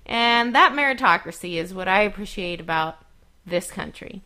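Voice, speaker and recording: A woman's voice: medium pitch, expressive, moderate pace, bright, forceful tone. A young adult woman speaking candidly in a casual podcast chat, earnest with laughs and fillers.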